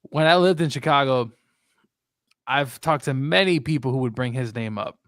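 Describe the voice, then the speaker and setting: A man co-hosts a casual sports talk show with a medium-pitched voice. His delivery is conversational and reflective, feeling its way through thoughts in a dry, close recording. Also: normal speed, a bit bright, dry recording.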